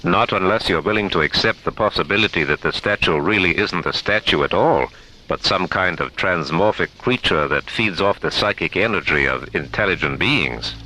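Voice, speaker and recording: A man playing a determined, authoritative research professor in a science-fiction radio drama, with firm, deliberate delivery. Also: deep voice, animated, noisy background.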